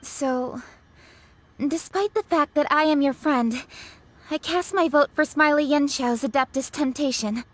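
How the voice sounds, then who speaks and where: A woman's voice: sits high, measured pace. A woman voicing a dutiful, earnest young noblewoman official in a video game, with stiff, precise, formal diction. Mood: earnest, hesitant.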